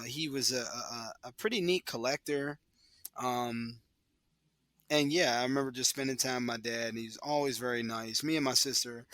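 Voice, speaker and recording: A man talking as a podcast guest, reflective and soft-spoken, pausing and restarting as he remembers. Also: mid-range voice, no room sound. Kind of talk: casual conversation.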